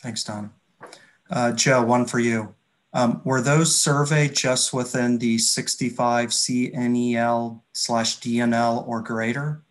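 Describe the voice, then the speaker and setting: A middle-aged man moderating a webinar on a video call, reading out questions in a measured, hesitant way. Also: mid-range voice, steady tone. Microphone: computer video-call microphone.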